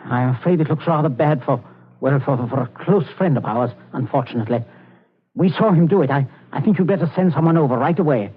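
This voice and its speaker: Suave, scheming patriarch on old radio. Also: male voice, fairly high pitch, a little fast. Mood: nervously polite. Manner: smoothly polite, theatrical. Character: domineering, suavely genial, scheming English father. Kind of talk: 1940s radio suspense acting. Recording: scratchy, narrow-band 1940s radio recording.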